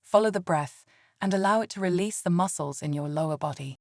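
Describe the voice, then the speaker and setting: A woman leading a scripted guided meditation in a calm, soothing and gentle way. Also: on the low side, a little fast, dry recording, clean studio sound.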